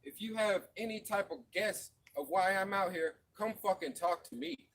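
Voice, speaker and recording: A man's voice: high-pitched, moderate pace, gravelly, a bit bright. A man from Florida speaking fervently and profanely to fellow marchers outdoors at night, in slangy everyday speech. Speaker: protest marcher. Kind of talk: impassioned street speech. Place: outdoors at a night street protest.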